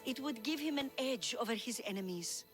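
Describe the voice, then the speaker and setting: An actress playing a flattering general's wife in an ancient Greek video game, gushing and coaxing as she talks. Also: fairly high pitch, fairly quick, close to an everyday voice. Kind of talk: scripted video game acting. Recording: music behind the voice.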